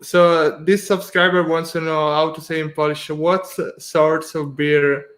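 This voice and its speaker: A man's voice: fairly high pitch, little pitch movement, unhurried, fairly bright tone. Chatty man hosting a live stream. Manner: chatty, laughs often, many "uh" fillers. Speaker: interviewer and live stream host. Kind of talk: casual live stream chat.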